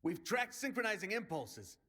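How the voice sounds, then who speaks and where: A man's voice: sits high, big pitch swings, normal speed, sharp tone, exaggerated voice. An actor playing a brash male resistance commander in a video game, rallying and plain-spoken like a soldier. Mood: determined.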